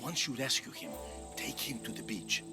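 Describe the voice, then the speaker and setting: An actor playing a wily aging former mercenary, an old friend, in a video game. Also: male voice, mid-range voice, animated, moderate pace, loud music behind the voice, lightly acted. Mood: pleading, friendly.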